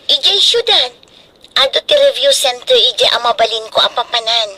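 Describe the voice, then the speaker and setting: An earnest, high-voiced young daughter. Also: female voice, lively intonation, moderate pace, pushed and bright, low-quality recording. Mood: earnest, hopeful. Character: earnest, anxious young daughter studying for board exam. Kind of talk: radio drama acting.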